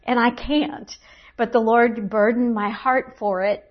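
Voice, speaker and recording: A woman's voice: fairly high pitch, fairly even intonation, a little slow. Earnest middle-aged woman, muffled recording. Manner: earnest, reflective storytelling with many restarts. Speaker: women's Bible teacher and speaker. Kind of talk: personal faith testimony. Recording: dull lo-fi recording.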